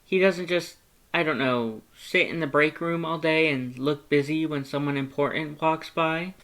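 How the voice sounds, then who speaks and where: A performer voicing an excitable, earnest male skeleton with a warm heart and emphatic, theatrical delivery, in a fan fiction audiobook. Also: mid-range voice, normal speed, voice pushed up, close to an everyday voice. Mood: concerned.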